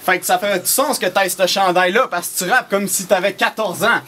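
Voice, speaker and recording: Un jeune homme à l'accent québécois lance des insultes en battle rap, d'un ton cru et plein de jurons. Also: male voice, high voice, fairly even intonation, brisk, sharp tone. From Quebec. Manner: crude taunting rap, swearing, loses his lines. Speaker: battle rapper. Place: small indoor shop venue.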